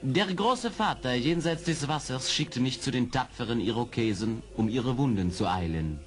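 An actor playing an earnest, determined army major in an audio drama, polite and articulate. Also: male voice, medium pitch, animated, moderate pace, natural voice. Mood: courteous. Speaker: actor in a radio play. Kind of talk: 1970s German audio drama acting.

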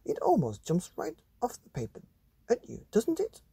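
A man voicing a calm, breezy, reassuring male art teacher in a storybook. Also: high-pitched, moderate pace, vocal fry, slightly rough, no echo, pitched above the performer's own voice, full character voice. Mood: breezy, unworried.

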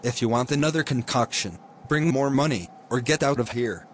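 A greedy witch doctor scolds and bullies in a middle-pitched male voice, as a man puts him on. He threatens more than he explains, with faint ambience behind. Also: moderate pace, a little hiss or hum, natural voice. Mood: demanding. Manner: scolding, menacing.

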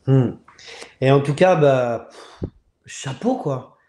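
A man in his thirties hosting a casual live chat over a video call, speaking earnestly and haltingly. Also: medium pitch, background noise. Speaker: live show host. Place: room at home. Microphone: earphone mic on video call. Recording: roomy, echoing video-call audio.

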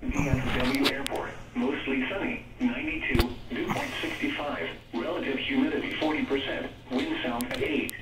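A synthesized male announcer reading a scripted weather bulletin in a formal, measured way, heard through a radio speaker. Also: mid-range voice. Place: played from a radio, recorded across a room. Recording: weather radio speaker, echoey, distant, noisy.

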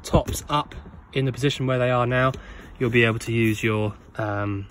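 A man talking through a camper van handover in a relaxed way with many ums, filming on a handheld phone. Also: mid-range voice, moderate pace, slight background noise. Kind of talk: casual walkthrough, filmed on phone. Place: in and around a parked van, workshop. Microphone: handheld phone, one-handed filming.

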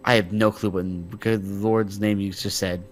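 A man's voice: fairly low pitch, flat delivery, moderate pace, faint music behind, close to an everyday voice. A man playing a playful, sarcastic and easily flustered adventurer in a video game roleplay. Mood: baffled, sarcastic. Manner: sarcastic, comic. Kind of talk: improvised Minecraft roleplay.

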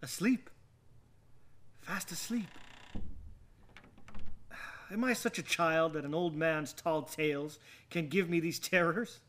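A haunted, anxious gentleman in a gothic radio play. His voice sits high, with a bright, tense edge. He speaks theatrically in old-fashioned phrases, volatile and short of rest. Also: takes it slowly, pauses often. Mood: brooding. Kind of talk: radio drama acting with dramatic monologue.

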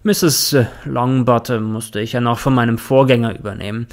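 A man's voice: mid-range voice, fairly even intonation, moderate pace, lightly acted. An actor playing a smug, demanding, lecherous male boss in an audio drama, talking in a commanding way. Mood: smug, leering. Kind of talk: German audio drama acting.

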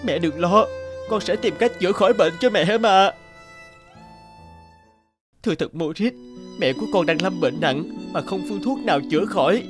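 A man voicing a devoted, kindhearted young fiddler in a fairy-tale cartoon, earnest and expressive. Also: high voice, normal speed, long pauses. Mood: pleading, worried, then respectful pleading. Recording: music under the voice.

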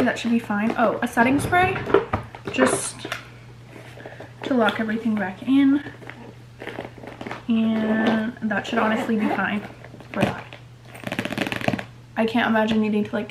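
A woman's voice: fairly high pitch, normal speed, pauses often, noisy background. Chatty, swearing woman in her twenties. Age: 20s. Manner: casual, swears often, chatty. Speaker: vlogger. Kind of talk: casual vlog chat. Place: apartment bedroom and kitchen. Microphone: handheld vlog camera.

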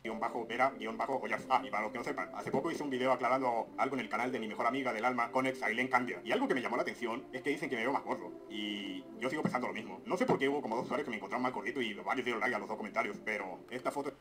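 A young man with an unusually deep, announcer-like voice calmly explaining his side of a controversy into a webcam at home. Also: rapid-fire, few pauses, a crowd in the background. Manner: calm explanatory storytelling. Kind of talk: confessional video monologue. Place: dim room at home.

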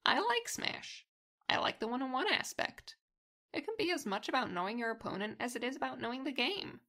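A woman's voice: sits high, lively intonation, a little fast, sharp tone, heavily acted. A woman playing a sly, cocky, teasing gamer in a fan fiction podcast, theatrically relishing her words. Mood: smug.

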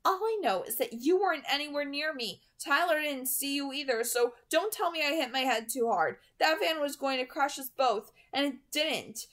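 A woman's voice: sits high, animated, normal speed, hardly any pauses, fairly bright tone, voice pushed up. A woman voicing a stubborn teenage girl who speaks intensely and insistently in a storybook reading. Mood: angry.

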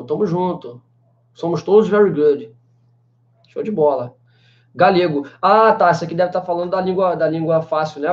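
Young man, high-pitched voice, chatty live-stream teacher, muffled webcam sound, small room. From Brazil. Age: 20s. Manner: chatty, many fillers like "né" and "cara". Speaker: language teacher answering live chat. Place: small room indoors with a banner behind. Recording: slightly dull lo-fi webcam sound.

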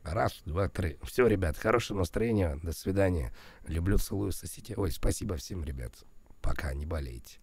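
A man's voice: sits low, lively intonation, normal speed, a little mellow, breathy edge. A man with a cold streaming live, berating his chat and the ads with crude swearing, then mumbling his thanks and goodbyes. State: has a cold.

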